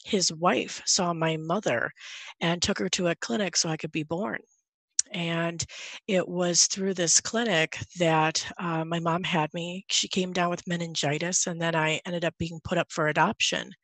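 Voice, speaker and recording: A woman's voice: lowish voice, fairly even intonation, unhurried, fairly bright tone, dry recording. A woman in her thirties, from Guatemala, speaking earnestly as a guest over a video call with a headset, with many fillers. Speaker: guest, podcaster. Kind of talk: casual video-call interview. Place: home room indoors.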